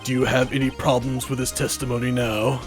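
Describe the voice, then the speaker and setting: A man voicing a stern courtroom judge in a video game, speaking in a measured, pompous, formal way. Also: mid-range voice, flat delivery, over music, pitched below the performer's own voice, lightly acted. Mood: pondering.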